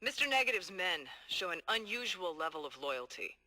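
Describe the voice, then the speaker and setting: An actor playing a determined, hard-boiled police detective dictating earnest, streetwise case logs in a video game. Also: male voice, sits high, sing-song, moderate pace, sharp tone, faint music behind, full character voice. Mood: analytical, concerned. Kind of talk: video game audio log monologue.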